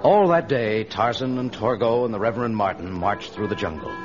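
A man's voice: on the low side, brisk. Dramatic male radio-serial narrator over music. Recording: dull old radio recording, music behind.

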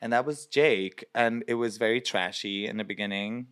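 A young man from Belgium, a drag performer, chatting in an interview with many fillers and lively quoted asides. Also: lowish voice, normal speed, smooth. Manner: chatty, lots of fillers, animated quoting. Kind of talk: casual interview.

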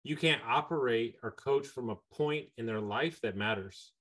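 A man's voice: medium pitch, normal speed. A man hosting an interview and speaking emphatically as a coach. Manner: energetic, emphatic, says "like" often. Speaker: podcast host and coach. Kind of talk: casual interview chat.

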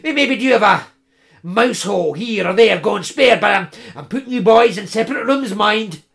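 A man's voice: high-pitched, animated, normal speed, vocal fry, fairly bright tone, pitched above the performer's own voice, heavily acted. A man doing a crabby coughing old woman. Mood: grumbling. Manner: scolding, grumbling, coughing.

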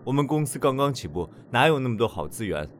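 A man playing a diplomatic, smooth-talking company assistant in a Chinese audio drama. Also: medium pitch, big pitch swings, quick pace, slight background noise, close to an everyday voice. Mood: polite, persuasive.

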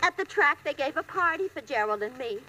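An actress playing a brassy, catty bride-to-be with crude wisecracks in an old-time radio comedy, gushing and calling everyone dearie. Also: female voice, sits high, animated, brisk, sharp tone. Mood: gleeful, boastful. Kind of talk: 1940s-50s radio comedy acting.

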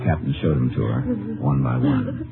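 An actor playing a steady, businesslike Texas Ranger who investigates and narrates in 1950s radio drama style. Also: male voice, extremely low pitch, moderate pace, vocal fry, narrow, tinny audio. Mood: measured narration. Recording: band-limited 1950s radio recording with music.

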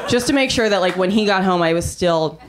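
A woman's voice: medium pitch, expressive, fairly quick, a crowd in the background. A woman from Canada in her thirties performing a stand-up set on a club stage, chatty and laughing at her own jokes. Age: 30s. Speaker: stand-up comedian. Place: comedy club stage with audience.

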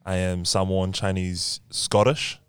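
A man's voice: low-pitched, flat delivery, measured pace, clear, resonant tone, dry recording. A man in his twenties with a New Zealand accent, a podcast guest telling his story in an earnest, reflective way. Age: 20s. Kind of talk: casual chat.

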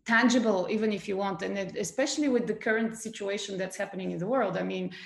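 A woman from Bulgaria answers interview questions in a thoughtful, searching way, pausing on fillers and restarting her sentences. Her voice has a gravelly fry to it. The recording sounds like an echoey room. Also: medium pitch. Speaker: guest. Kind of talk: conversational interview.

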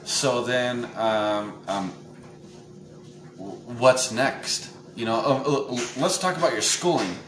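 A man's voice: mid-range voice, slow pace. A young man hosting a podcast, interviewing his grandfather with hesitant, filler-heavy questions. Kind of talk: casual family interview. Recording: echoey room, faint noise.

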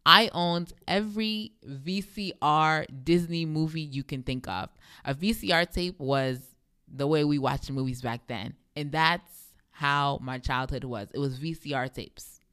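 A young woman with a deep voice hosting a devotional podcast, speaking emphatically and repeating phrases for effect. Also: a little slow, a bit bright, clear, resonant tone, no room sound, clean studio sound. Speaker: podcast host.

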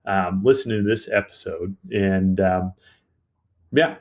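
A man's voice: sits low, a little slow. A man co-hosting a podcast, introducing the episode in a rambling, enthusiastic way with many fillers. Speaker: podcast co-host.

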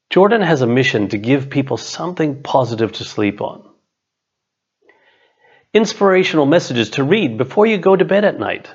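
A man's voice: medium pitch, husky. Middle-aged man reading, slightly dull recording. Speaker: author, business and leadership coach. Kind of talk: scripted narration, reading own written chapter.

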